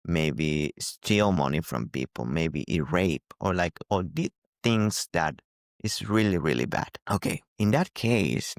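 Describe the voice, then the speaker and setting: A man's voice: low voice, no room sound, clean studio sound. A man who is a non-native English speaker, giving a spiritual teaching talk as a channel host, repeating key phrases for emphasis. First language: not English.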